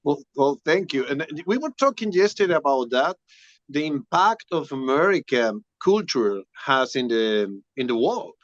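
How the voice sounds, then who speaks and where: A man from Spain with a strong Spanish accent, talking casually as an interview guest, often pausing to search for English words. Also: medium pitch, big pitch swings, dry recording, lo-fi sound. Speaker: musician guest.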